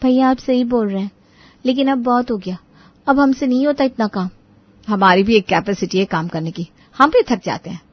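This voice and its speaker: A woman's voice: on the high side, normal speed, lightly acted. A woman playing a young daughter-in-law who complains and makes excuses with plaintive mock innocence, recorded with dull sound. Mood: complaining.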